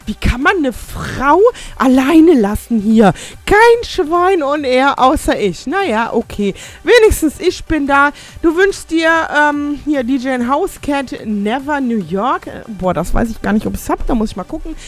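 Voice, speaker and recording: A middle-aged woman hosting a casual radio show, rambling and self-mocking, reading listener messages in halting broken English. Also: high voice, lively intonation.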